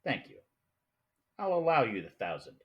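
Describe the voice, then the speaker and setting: An actor playing a genial, grandiloquent criminal mastermind in a 1940s radio drama, florid and courtly. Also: male voice, mid-range voice, sing-song, moderate pace, lo-fi sound, close to an everyday voice. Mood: smugly polite.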